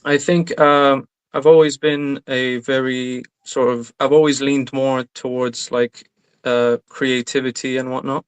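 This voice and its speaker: Young man, flat and hesitant. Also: medium pitch, measured pace, clean tone. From Iraq. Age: young adult. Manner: hesitant, many fillers. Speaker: interviewee, graduate. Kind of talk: casual interview.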